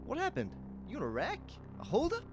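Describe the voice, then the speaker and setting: A man's voice: very high voice, wildly swooping pitch, brisk, noisy background, exaggerated voice. A man playing a suspicious, disbelieving gas station attendant, incredulous and questioning, in an audio drama. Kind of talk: audio drama character acting.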